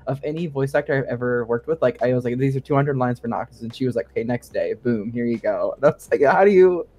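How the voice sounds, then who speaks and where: Informal male game developer, medium pitch. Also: fast talker, darkish tone, slight background noise. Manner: informal, frequent "like" and quoted asides. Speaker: guest co-host, game sound designer. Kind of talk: casual interview chat.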